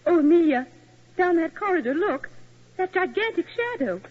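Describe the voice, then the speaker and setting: A woman's voice: very high voice, big pitch swings, normal speed. An actress playing a timid, fretful English woman companion in a 1940s radio drama, tinny old recording. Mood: nervous. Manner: fretful, easily alarmed.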